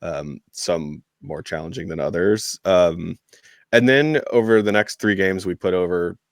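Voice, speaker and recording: A man chatting casually about soccer as a podcast panelist, in a halting, wry way. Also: low voice, creaky, no echo, clean studio sound.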